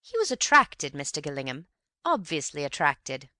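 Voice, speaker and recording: A woman's voice: low voice, wildly swooping pitch, moderate pace, sharp tone, no echo, studio quality, full character voice. A woman reading aloud voices a fussy, gushing middle-aged mother who speaks with swooping, insistent emphasis. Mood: emphatic.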